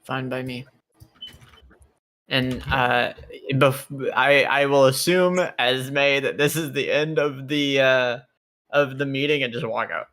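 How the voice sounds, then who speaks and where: A man playing in a tabletop roleplay, chatting casually and haltingly in and out of character. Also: medium pitch, steady tone, a bit bright, no echo.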